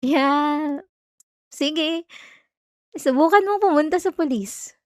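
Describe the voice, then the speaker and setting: A woman plays a high-voiced yandere stalker in an ASMR roleplay, recorded close and dry. She is possessive and sweetly menacing, coaxing her listener with a giggly, halting delivery. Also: no echo. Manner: coaxing, giggly, veiled threats, halting stammers.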